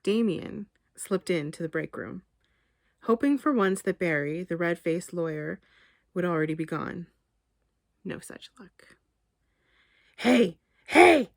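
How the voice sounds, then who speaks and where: Low-voiced woman reading fiction, dry sound. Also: unhurried, gravelly, no echo. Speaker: author reading her short story. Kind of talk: literary narration read aloud.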